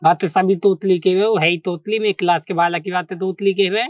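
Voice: mid-range voice, steady tone, fairly quick, dry recording, muffled recording, natural voice. A performer playing a cheeky, complaining schoolboy son in a comedy skit.

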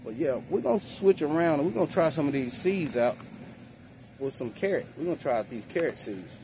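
A man's voice: expressive, fairly quick, darkish tone. A middle-aged man talking casually and plainly as he shows gardening supplies, recorded outdoors in a backyard. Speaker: home gardener vlogger. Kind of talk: casual product demonstration. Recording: noisy outdoor ambience, traffic-like rumble.

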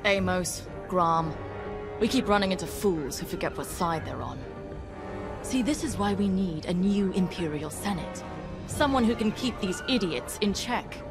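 An actress playing a confident, opinionated young military pilot in a video game, speaking assertively. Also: female voice, fairly low pitch, lively intonation, normal speed, music in the background. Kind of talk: video game cutscene acting.

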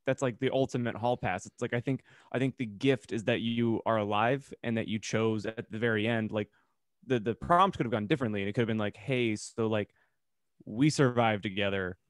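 Guy in his 30s, medium pitch, thoughtful casual podcast chat, no room echo. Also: studio quality. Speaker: podcast co-host. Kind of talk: casual conversation. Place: home room, indoors.

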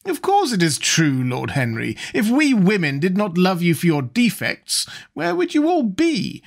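A man's voice: high voice, huge pitch swings, a little slow, vocal fry, voice pushed up, heavily acted. A man putting on a gushing elderly society hostess. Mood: bossy. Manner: gushing, bossy.

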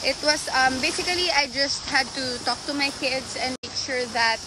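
A woman's voice: high-pitched, moderate pace. A woman chats casually and exclaims as she vlogs, mixing English and Tagalog, on a phone outdoors. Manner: chatty, exclamatory, switches between English and Tagalog. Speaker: vlogger and mother. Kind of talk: casual vlog chat to camera and family. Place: outdoor beach. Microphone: handheld phone at arm's length. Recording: noisy outdoor phone recording.

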